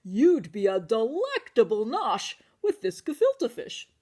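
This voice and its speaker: A woman's voice: a little high, wildly swooping pitch, a little mellow, full character voice. A woman voicing a tearful old grandpa crying out in a children's storybook. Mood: tearful pleading. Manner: crying out, drawn-out.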